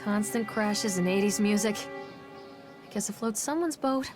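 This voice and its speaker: A troubled mother played by a woman in a dark, story-driven video game. Her female voice sits at a middle pitch and carries a brooding, guilt-ridden intensity. She speaks as if confessing, in dramatic scripted monologue, with music clearly playing behind her. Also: a little slow, lightly acted. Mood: wry. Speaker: narrator and main character of a video game.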